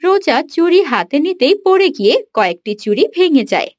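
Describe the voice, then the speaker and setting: A woman's voice: high-pitched, big pitch swings, a little fast, no room sound, studio quality. A woman giving scripted narration for a cartoon story.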